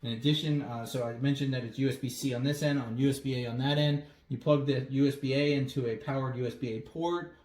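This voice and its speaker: A man's voice: mid-range voice, fairly even intonation, quick pace, hardly any pauses, darkish tone. A man giving a casual product review, explaining features as he demonstrates them. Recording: roomy echo with faint noise.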